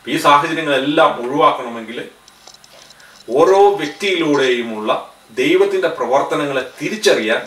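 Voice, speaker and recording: A man's voice: a little high, animated, moderate pace, a bit bright, roomy sound, recorded from a distance. A man in his thirties preaching a sermon to camera in earnest, his voice rising on key phrases. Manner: earnest, gestures with both hands, voice rises on key phrases. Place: studio in front of a backdrop.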